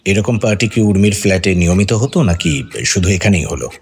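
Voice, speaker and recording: A probing, skeptical detective, slightly husky. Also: male voice, low-pitched, fairly quick. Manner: interrogating, pointed, argumentative. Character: senior male police detective, probing and skeptical. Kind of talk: radio drama acting.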